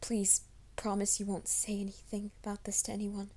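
A woman voicing a troubled young man who hides a werewolf nature, in a soft, hushed ASMR roleplay, often whispering. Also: mid-range voice, monotone, moderate pace, lightly acted. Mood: anxious whisper. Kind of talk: fan audio drama, ASMR roleplay.

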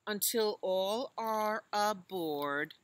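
A woman's voice: mid-range voice, very slow, no room sound. An American woman in her sixties reading a picture book aloud to young children outdoors, with simple explanatory asides and questions. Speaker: children's librarian reading storytime. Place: outdoors in a leafy garden.

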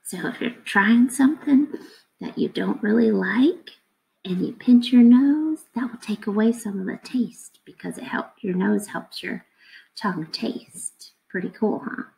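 A woman in her thirties reading a picture book aloud to children like a teacher, expressive and instructive, putting questions to her listeners. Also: mid-range voice, measured pace, vocal fry, poor audio.

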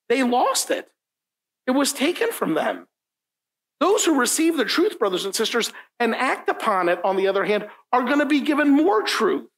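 Man, middle-aged, pastor, first language Italian, gravelly voice, casual sermon, repeats points, church room, distant camera. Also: very high-pitched, a bit bright. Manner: informal, often asks "right?", repeats key lines for emphasis. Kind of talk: conversational sermon. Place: church meeting room, indoors, standing at a lectern.

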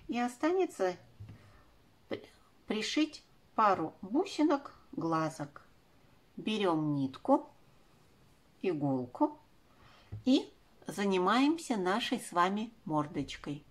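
A woman's voice: mid-range voice, slightly rough. A woman in her forties giving step-by-step craft instructions for children, speaking in affectionate diminutives. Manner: uses affectionate diminutive words. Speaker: craft tutorial presenter.

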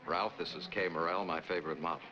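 Breezy artist type, man acting, 1940s film, deep and casual, dull vintage soundtrack. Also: fairly even intonation, fast, crowd noise, close to an everyday voice. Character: breezy male artist bringing his model.

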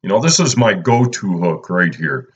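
A man, talking casually and unhurriedly through a fishing how-to as a channel host, filling pauses with "uh" and "you know". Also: deep voice, very animated, slightly rough. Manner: laid-back, lots of "uh" and "you know". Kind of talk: casual instructional voice-over.

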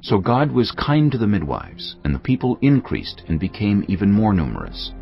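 A man narrating scripture in a measured, solemn way. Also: on the low side, huge pitch swings, vocal fry. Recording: dull studio track under music.

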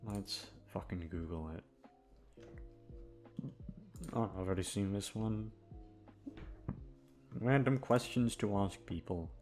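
A man's voice: fairly low pitch, slow, pauses often, a little mellow, faint music behind. A man chatting casually to his listeners as an ASMR creator, rambling hesitantly with self-deprecating swearing. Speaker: ASMR audio creator and host.

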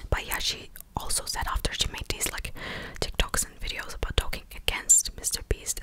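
A young woman doing a whispered ASMR recap, speaking close to the mic in a breathy, clicky, hushed and conversational way. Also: poor audio. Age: young adult. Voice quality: breathy unvoiced whisper, clicky mouth sounds. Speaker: ASMR creator. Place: small room indoors at home. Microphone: large desktop condenser mic, very close.

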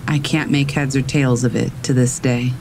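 A man calmly reading scary stories aloud as a narrator, in a steady storytelling manner. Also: mid-range voice, normal speed, creaky, background noise. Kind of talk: scripted narration of reader submissions. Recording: faint rain in the background. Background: rain.

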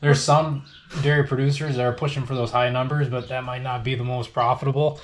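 A man's voice: mid-range voice, little pitch movement, a little fast. A young man, a dairy farmer, talking casually and summing things up in a small indoor work room. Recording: clear background noise with clicks.

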